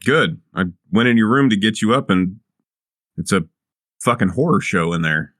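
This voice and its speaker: A man's voice: fairly low pitch, lively intonation, moderate pace, a bit bright, dry recording, clean studio sound. A man playing a tabletop game, talking casually in and out of character. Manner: easygoing, casual in-character talk. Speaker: player.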